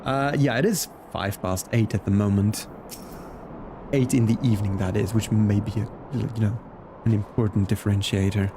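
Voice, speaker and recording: A man's voice: fairly low pitch, fairly even intonation, normal speed, background noise. A man from Belgium who speaks English as a second language, chatting casually with viewers as a live game streamer. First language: not English, learned it from video games. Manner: chatty, greets viewers by name.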